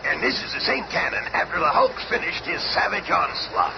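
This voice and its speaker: An actor playing an older, blustery army general in a 1970s radio drama, courtly and commanding. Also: male voice, sits high, big pitch swings, a little fast, vocal fry, full character voice. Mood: grave briefing. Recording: muffled, noisy 1970s radio recording.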